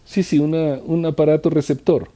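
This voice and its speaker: A man interpreting a conference talk live, in a halting way with restarts and trailing phrases. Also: a little high, fairly even intonation, warm tone.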